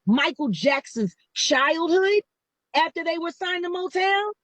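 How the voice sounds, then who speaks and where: A woman with a high voice speaks forcefully as an interview guest. She is blunt and swears often. The recording is dry and close. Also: animated, measured pace, dry recording. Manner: forceful, profane. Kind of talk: blunt, emphatic storytelling.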